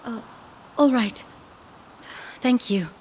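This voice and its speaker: A woman's voice: a little high, extremely slow, darkish tone, slight background noise. A woman playing an earnest, devout and brave young woman in a video game, speaking politely and formally with some hesitancy. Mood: apologetic.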